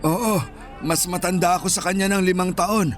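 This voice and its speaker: An actor playing a shy, plaintive Filipino street vendor of sixty-five, speaking deferentially in a radio drama. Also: male voice, high voice, fairly even intonation, moderate pace, soft background music, some chatter behind, lightly acted. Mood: polite. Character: mild, shy 65-year-old Filipino vendor husband.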